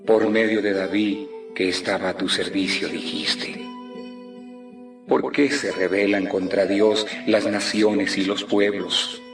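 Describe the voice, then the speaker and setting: Deep, echoing, prayerful apostle. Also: male voice, steady tone. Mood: solemn, reverent. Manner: measured, prayerful. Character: solemn, reverent male apostle praying aloud to God. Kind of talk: dramatized audio Bible acting. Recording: echoey, distant, music bed behind.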